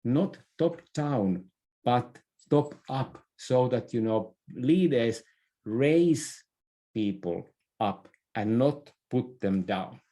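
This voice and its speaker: A man with a mellow, slightly low voice explains his ideas in an interview. His delivery is unhurried and halting, with repeated words and self-corrections, in a conversational style. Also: expressive. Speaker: interview guest, leadership expert.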